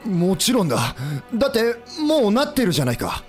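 An earnest, high-voiced rebel leader. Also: male voice, wildly swooping pitch, a little fast, faint music behind. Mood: reassuring. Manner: polite, earnest. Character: Enomoto Takeaki, earnest idealistic leader of a rebel army. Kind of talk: Japanese historical audio drama acting.

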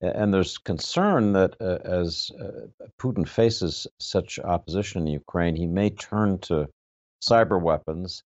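A man with a deep, low voice interviews a guest in a formal register. His phrasing is measured and hesitant, and the audio is dull and lo-fi. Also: a little mellow. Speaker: interviewer, moderator.

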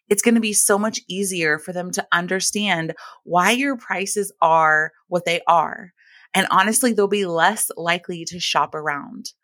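A woman in her thirties hosting a casual solo podcast, her voice deep and scratchy from allergies, talking in a chatty, friendly way. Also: fairly bright tone, dry recording. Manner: chatty, friendly, uses y'all and like. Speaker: podcast host.

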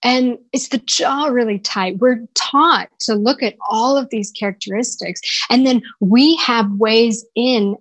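A woman's voice: fairly high pitch, a little slow, hardly any pauses, dry recording, poor audio. A woman working as a voice coach, answering interview questions thoughtfully with frequent restarts and repeated words.

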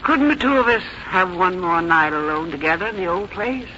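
Bright-voiced, determined old country mother. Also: on the low side, animated, a little fast. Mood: gently coaxing. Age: older. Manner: folksy, wry, plain-spoken. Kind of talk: 1950s radio drama acting and narration. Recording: dull, noisy 1950s radio recording.

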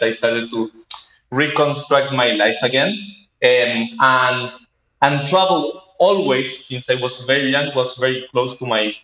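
A man in his thirties from Chile with a Chilean Spanish accent, telling his personal story as an interview guest in a halting manner. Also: medium pitch, a bit bright. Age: 30s. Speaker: interview guest, startup co-founder. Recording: roomy, dull lo-fi sound.

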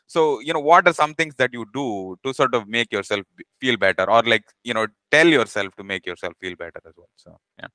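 Bright, smooth-voiced man hosting. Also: medium pitch, animated, dry recording. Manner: measured, many "you know" fillers. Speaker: podcast host. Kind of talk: interview podcast conversation.

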